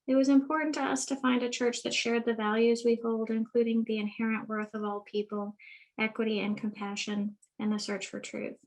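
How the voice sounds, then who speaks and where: A woman in her thirties reads a church testimonial steadily and earnestly. Her voice is a touch high yet very even in pitch, with some fry. It sounds like a video call. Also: rough recording. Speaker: church member giving testimonial. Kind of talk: reading a prepared testimonial.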